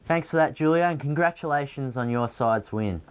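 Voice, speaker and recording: A man's voice: mid-range voice, normal speed, clean tone. An Australian man presenting local news from a script.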